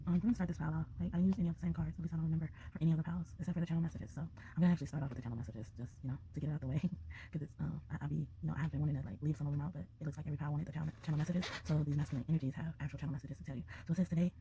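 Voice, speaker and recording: A woman's voice: low voice, steady tone, very fast, warm tone, slight background noise, low-quality recording. A young woman giving a casual tarot reading close to the mic, chatty and loose with frequent laughs and fillers, her voice slightly congested. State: slightly congested from a sinus infection. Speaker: tarot reader. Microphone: close to a desk microphone.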